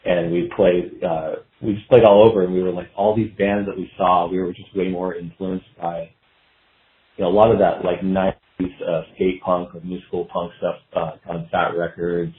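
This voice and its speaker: Deep-voiced man on a dull, roomy line. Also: little pitch movement, normal speed. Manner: hesitant, meandering, filler-heavy. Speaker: guest musician, guitarist. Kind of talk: casual interview reminiscing. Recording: dull lo-fi roomy call sound.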